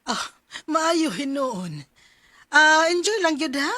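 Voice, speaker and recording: Gentle, measured middle-aged mother. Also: high-pitched, sing-song, no room sound, studio quality, full character voice. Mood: caring, inquiring. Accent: Cebuano. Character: gentle, understanding mother of a student. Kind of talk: radio drama acting.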